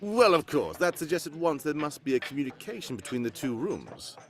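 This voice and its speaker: An actor playing a confident, commanding detective in a comic live radio mystery, with theatrical, self-assured delivery. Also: male voice, a little high, sing-song, brisk, fairly bright tone. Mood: assured, explanatory. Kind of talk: live old-time radio mystery acting, comedic.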